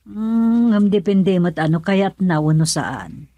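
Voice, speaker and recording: A woman playing a lively, caring mother. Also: medium pitch, takes it slowly, no echo. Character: caring middle-aged mother, curious. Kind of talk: radio drama acting.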